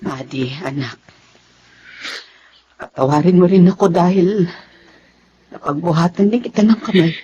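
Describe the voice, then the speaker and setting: An actress as a middle-aged Filipino mother in a radio drama, strict yet loving. Her voice sits a little low for a woman. She speaks with raw, confrontational feeling, over faint background noise. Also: a little slow, long pauses, dark tone, muffled recording, natural voice. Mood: gentle, remorseful. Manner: emotional, confrontational delivery.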